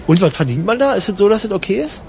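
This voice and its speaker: Man acting a high-voiced, sardonic bored traveller. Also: sing-song, fast, a little mellow, slight background noise. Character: bored, sardonic, contrary male travel companion. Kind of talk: conversational improvised radio play dialogue.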